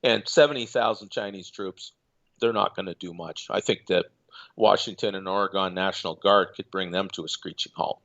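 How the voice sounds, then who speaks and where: A man calling in as a guest, talking in a measured, hesitant way with many fillers. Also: low voice, fairly even intonation, a bit bright, dull audio. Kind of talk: casual remote call-in chat.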